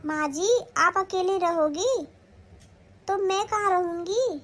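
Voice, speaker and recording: A woman's voice: very high voice, slow, a bit bright, exaggerated voice. A woman acting a sly, newly married young daughter-in-law who coaxes and pleads in a family skit. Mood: pleading. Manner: coaxing, plotting, pleading.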